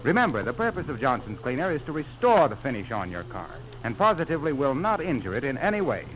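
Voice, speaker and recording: An American man reading a sponsor's pitch as a 1930s radio announcer, polished, formal and persuasive. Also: sing-song, fast talker, a bit bright. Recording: noisy vintage 1930s radio recording.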